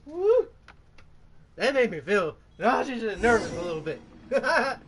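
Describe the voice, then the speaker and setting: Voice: medium pitch, big pitch swings, measured pace, noisy background. A gamer giving casual, reactive commentary while playing, with exclamations and muttering. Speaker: gaming YouTuber playing and reacting. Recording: loud game music behind the voice. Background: loud game music.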